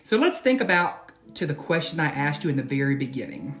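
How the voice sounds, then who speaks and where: A man reading aloud and teaching for children, expressive and addressing them directly with questions. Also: a little high, brisk. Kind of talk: children's storybook read-aloud and lesson.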